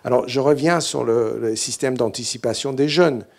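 Halting English Canadian man at a conference. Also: mid-range voice, vocal fry. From English-speaking Canada. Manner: hesitant, many "euh" fillers and restarts. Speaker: keynote speaker. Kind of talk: conference talk. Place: large hall stage. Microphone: handheld mic.

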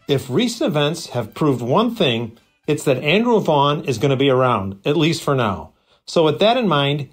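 A man reading a prepared stats segment, dry and list-like with wry asides. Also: on the high side. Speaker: stats contributor.

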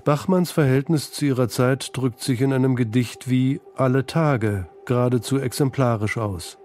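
A man's voice: mid-range voice, moderate pace, darkish tone, faint music behind. A man narrating a scripted radio feature in an articulate, composed way. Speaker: documentary narrator. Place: studio.

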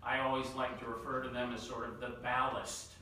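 A bright-voiced man speaks quickly at a conference table, piling up "uh"s and restarts. He is picked up from a distance in a large, very reverberant room with a faint hiss. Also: medium pitch, level tone. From New York City area. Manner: quick-talking, with many fillers and repeated words. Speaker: panelist. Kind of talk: policy panel remarks. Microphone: table mic, recorded from the far side of the room. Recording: echoey room audio from far off.

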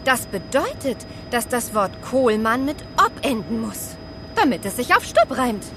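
Eager kid girl adventurer in audio drama, bright-eyed n high pitched, music under. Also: very animated, a little slow, vocal fry, full character voice. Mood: puzzling, eager. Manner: eager, puzzling aloud.

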